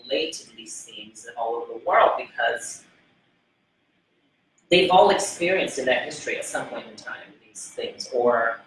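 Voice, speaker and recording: A Brazilian man with a New York-tinged accent, hosting a casual cast discussion with rambling, animated stories. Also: medium pitch, a little slow. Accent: Brazilian, New York influenced. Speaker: interviewer and film writer. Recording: echoey room, mic at a distance, faint noise.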